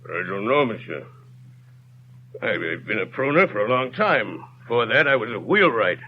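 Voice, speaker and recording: An actor playing a poor, bewildered peasant pruner on trial, stammering and pleading in a 1930s radio drama. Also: male voice, on the low side, big pitch swings, moderate pace. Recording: dull lo-fi 1930s radio broadcast, faint hiss.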